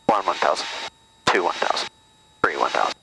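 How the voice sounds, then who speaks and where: A man's voice: low-pitched, expressive, brisk, gravelly, sharp tone, a little hiss or hum, poor audio. A man learning to fly, giving short acknowledgments and reading out radio calls in a small plane cockpit over a headset. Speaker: student pilot. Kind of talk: casual in-flight chat and radio calls.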